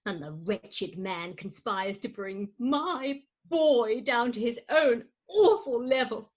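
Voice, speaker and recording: A woman's voice: fairly high pitch, animated, takes it slowly. A woman playing a melodramatic, indignant mother and deceived wife, declaiming in a staged reading of a Victorian farce. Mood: outraged, scheming. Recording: muffled remote call audio.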